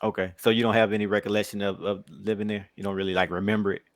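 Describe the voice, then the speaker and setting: A man with a low voice hosts a casual sports interview. He talks fast and teases his guest. The sound is dry and close. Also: little pitch movement, no room sound. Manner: quick, friendly, teasing questions. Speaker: podcast interviewer.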